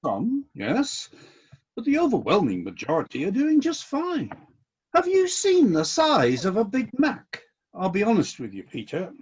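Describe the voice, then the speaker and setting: A husky-voiced, exacting celestial boss played by an actor in a satirical radio comedy. The delivery is measured and politely insistent, with very wide swings in pitch. The recording is slightly dull. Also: wildly swooping pitch, exaggerated voice. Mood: politely critical. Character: exacting, fair-minded celestial company boss reviewing complaints. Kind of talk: satirical radio play dialogue.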